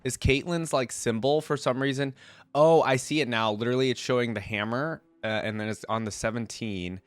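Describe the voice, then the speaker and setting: A man's voice: medium pitch, a little fast, smooth, no echo. A man with a congested voice from a cold, giving casual, loose gaming reaction commentary and thinking aloud as he goes. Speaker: YouTube reactor and host.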